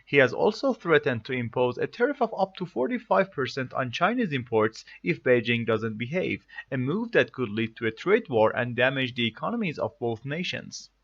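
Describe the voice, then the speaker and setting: Male reader for scripted read-aloud passages. Animated intonation, dull lo-fi recording. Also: medium pitch, moderate pace, few pauses. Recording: dull lo-fi audio.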